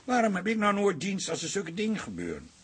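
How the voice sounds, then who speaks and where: An actor playing an eager, sentimental middle-aged husband with a raspy voice in a radio drama. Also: male voice, sits high, huge pitch swings, a little fast, slight background noise, exaggerated voice. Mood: amazed.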